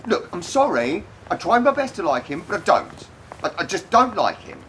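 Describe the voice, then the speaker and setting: An actor playing a jealous, stubborn boyfriend in a radio play, defensive and halting when pressed. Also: male voice, high-pitched, big pitch swings, a bit bright, a little hiss or hum. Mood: defensive, irritated. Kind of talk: naturalistic radio play acting.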